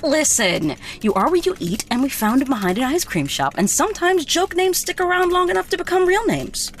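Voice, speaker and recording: A woman's voice: high voice, big pitch swings, brisk, faint music behind. A woman playing a breezy, confident, helpful character in a naturalistic audio drama.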